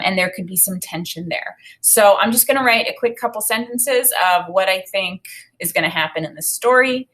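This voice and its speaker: A woman in her thirties with a bright, forward voice at an average pitch for a woman. She sounds like an enthusiastic teacher reading to children, expressive and conversational as she thinks aloud about the text. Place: small home room, indoors.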